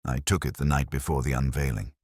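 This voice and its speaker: A man's voice: rumbling low, level tone, fast talker, darkish tone, no echo, clean studio sound. A man voicing a thin, resigned, secretive man in his forties who speaks in a tight, guarded way, in an audio mystery. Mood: tight, resolute.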